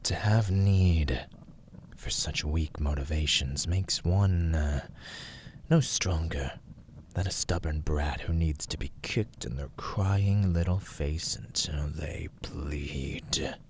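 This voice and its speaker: A man playing an arrogant, teasing villain warlord who craves control, in a theatrical ASMR roleplay, with breathy, mocking, drawn-out delivery. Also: rumbling low, darkish tone, a little hiss or hum, full character voice. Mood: menacing, taunting. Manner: haughty, languid, mocking, drawn-out words.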